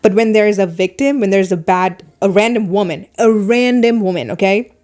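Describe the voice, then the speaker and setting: A woman with a foreign accent hosting a podcast, talking through her opinions in casual chat, animated and fast in bursts. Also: medium pitch. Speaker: podcast host.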